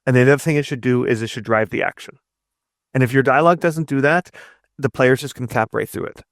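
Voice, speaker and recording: A man's voice: mid-range voice, fast, no echo, clean studio sound. A man giving conversational interview advice as a game writer, explaining emphatically and often checking with "right?". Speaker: guest narrative designer and game writer.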